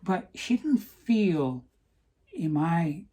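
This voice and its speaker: A woman talking earnestly to camera in a personal vlog, stopping to ask "okay?" for emphasis, with some halting restarts. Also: fairly low pitch, sing-song, warm tone, slightly rough. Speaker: vlogger.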